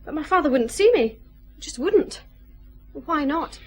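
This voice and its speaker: A woman's voice: high-pitched, animated, a little slow, slight background noise. An actress playing a tense, vulnerable young woman in a radio drama. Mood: bitter, angry.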